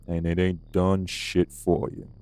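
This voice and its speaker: A man playing a rough, defiant old vampire, blunt and taunting, in an audio drama. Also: low-pitched, level tone, slow, darkish tone, faint music behind. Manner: blunt, drawling, taunting, colloquial. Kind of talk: dramatic audio roleplay acting.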